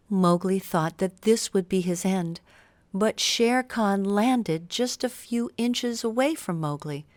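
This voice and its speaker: A woman reading a scripted children's bedtime story with expressive, careful phrasing. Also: on the low side, dry recording. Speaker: storybook narrator.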